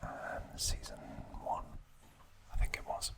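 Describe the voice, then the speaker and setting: A man hosting an ASMR video, softly sharing trivia in a chatty, informal, unhurried way. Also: heavy background noise. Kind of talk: whispered ASMR trivia narration.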